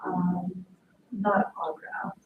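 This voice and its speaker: A woman's voice: lowish voice, flat delivery, very slow, phone-quality sound. A middle-aged woman from Pakistan, a native Urdu speaker, chatting casually and talkatively, picked up from a distance. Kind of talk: casual chat. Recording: roomy, distant mic, noisy background.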